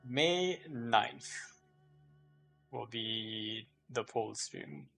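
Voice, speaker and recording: A man's voice: lowish voice, expressive, drawn-out words, gravelly, a bit bright, faint music behind. A man chatting casually on a livestream, thinking aloud in a hesitant, rambling way and often repeating himself. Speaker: live streamer.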